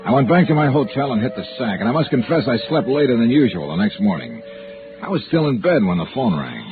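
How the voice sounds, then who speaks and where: An old, thin-sounding radio recording with music cues carries a hard-boiled American investigator. He is wry and clipped, and his pitch moves freely as he tells and plays out the case. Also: big pitch swings, fast, narrow, tinny audio. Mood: dry narration. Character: wry, tough male insurance investigator, private-eye type. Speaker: lead actor and first-person narrator. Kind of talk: old-time radio detective acting.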